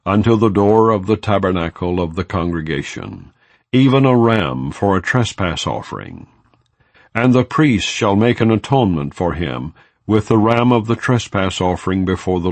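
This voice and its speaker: Deep, slow-reading male scripture narrator. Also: no echo. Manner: solemn, measured, formal. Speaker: audiobook narrator.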